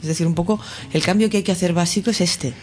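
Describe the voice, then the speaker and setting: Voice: mid-range voice, fast talker, a little mellow. Earnest speaker listing values. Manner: earnest, lists values one after another. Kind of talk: casual conversational reflection.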